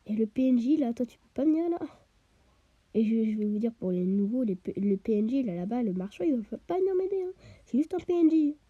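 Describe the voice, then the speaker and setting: A woman giving chatty let's play commentary while she plays, often dropping to a half-whisper and tossing in quick interjections, a bit stuffy with a cold. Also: fairly high pitch, animated, no echo, narrow, tinny audio. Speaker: gamer.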